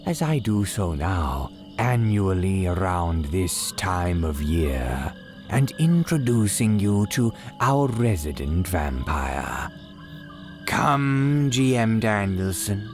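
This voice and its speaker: A man playing a courteous, gleefully sinister cemetery keeper, telling horror tales in grand, archaic, drawn-out phrases like old-time radio drama. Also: sits low, big pitch swings, soft background music, exaggerated voice. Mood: grandly inviting. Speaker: horror storyteller host.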